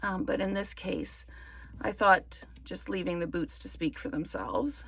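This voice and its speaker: A woman from Canada giving a relaxed craft tutorial, her voice slightly croaky from smoke, rambling with many ums. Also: lowish voice, unhurried. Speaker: card-making demonstrator. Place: indoors at a craft table.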